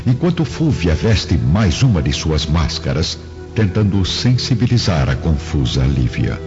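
A man's voice: deep voice, big pitch swings, unhurried. A man narrating a radio drama in a dramatic, scripted storytelling style. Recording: muffled recording with music bed.

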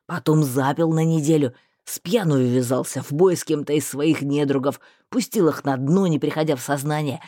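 A woman voicing a bluff, talkative male mage with a rough, folksy, rambling way of speaking. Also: low voice, moderate pace, few pauses, dark tone, no room sound, studio quality, voice pushed down.

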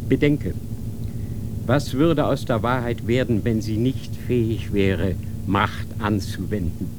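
Cold, worldly inquisitor in a radio play, unhurried lecturing delivery. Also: male voice, lowish voice, background noise. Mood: severe. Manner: lecturing, severe, rhetorical questions. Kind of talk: radio drama acting.

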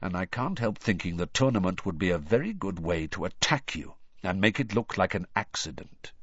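Guy doing a serious worried wizard godfather, low slow voice, kinda dull sound. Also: lively intonation, a bit bright, husky, no room sound, heavily acted. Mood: grave. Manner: low, slow and grave, then urgent. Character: grim fugitive wizard godfather, male adult, serious and worried. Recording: dull, dry recording.

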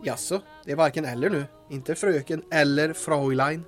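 A man's voice: on the high side, faint music behind, close to an everyday voice. An actor playing a cheeky, chatty male intelligence informant in a radio drama, speaking in wry, teasing banter.

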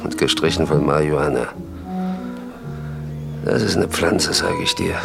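Gravelly, very deep barfly. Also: male voice, a little slow, over music, exaggerated voice. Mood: confidential. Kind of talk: 1960s German radio play acting.

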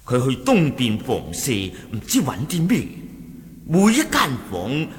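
A Cantonese-accented sect lieutenant in a martial-arts radio drama. His pitch sweeps dramatically as he reports to his chief, wary and respectful, breaking into emphatic exclamations. Long hall reverb surrounds him. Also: huge pitch swings, measured pace. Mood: suspicious. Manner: deferential, storytelling with emphatic exclamations.

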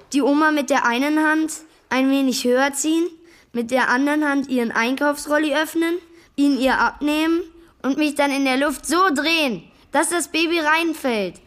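A woman's voice: sits high, moderate pace, a bit bright. A woman playing a clever, self-assured schoolboy superhero pupil in a children's radio play, explaining things earnestly. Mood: earnest, confident.

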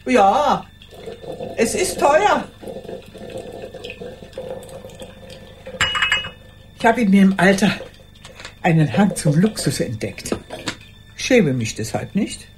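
Elderly female teacher, radio drama, formal confiding manner, very varied melody, lowish-normal voice, light noise. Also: big pitch swings. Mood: frank, proud. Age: older. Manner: formal, deliberate, addressing a silent listener. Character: solitary old retired schoolteacher, formal, confessional, female. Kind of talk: radio play monologue.

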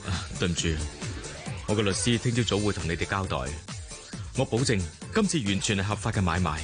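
A man playing a composed, courteous businessman in a radio drama, speaking in a measured, formal and firm way. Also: fairly low pitch, animated, music in the background, natural voice. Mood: businesslike. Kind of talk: Cantonese radio drama acting.

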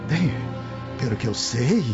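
A man's voice: medium pitch, very animated, a little slow. An actor playing a courteous, effusive Roman nobleman in a radio drama, theatrical and exclamatory. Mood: effusive, surprised. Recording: muffled lo-fi radio drama with music.

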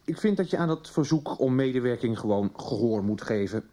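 A man's voice: medium pitch, expressive, a little fast, warm tone, natural voice. A man acting in a 1990s radio soap as a cautious, placating small-town mayor. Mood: firm, evasive.

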